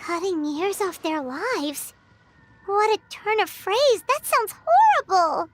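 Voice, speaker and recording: A woman voicing a small, excitable, childlike fairy companion in a video game, squeaky and very expressive. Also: takes it slowly, heavily acted. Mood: shocked. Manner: expressive, speaks of self in third person. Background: background music.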